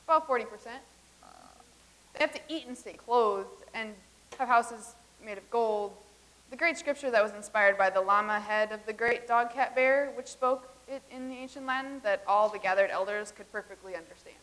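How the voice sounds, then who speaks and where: A woman playing a deadpan visitor from the future. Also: a little high, moderate pace, close to an everyday voice, low-quality recording. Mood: matter-of-fact. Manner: matter-of-fact, earnest explaining. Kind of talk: staged comedy reading.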